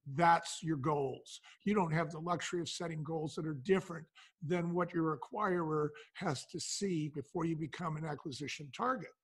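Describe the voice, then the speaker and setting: A man's voice: on the high side, normal speed, slightly rough. A man speaking as an interview guest, explaining business advice conversationally and posing questions that he then answers. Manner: explanatory, poses questions then answers them, acts out dialogue. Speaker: podcast guest, business founder.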